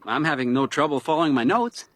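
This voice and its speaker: A man's voice: on the high side, animated, quick pace, fairly bright tone, no echo. A man acting a younger, talkative hiker in a radio drama, nervy, probing and insistent. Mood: chatty.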